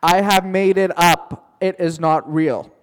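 A male teacher spins a playful, made-up story for his class, joking along the way and calling on students by name. He speaks slowly and a bit high for a man. His voice rings in a classroom with a long echo. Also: fairly even intonation, smooth.

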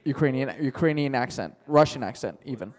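A man's voice: medium pitch, animated, brisk, big-room sound. A male teacher telling a playful made-up story to his class, chatty and joking, calling on students by name.

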